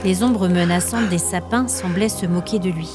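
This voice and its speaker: A woman narrating scripted fiction as a storyteller, with measured, literary phrasing. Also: on the low side, music in the background.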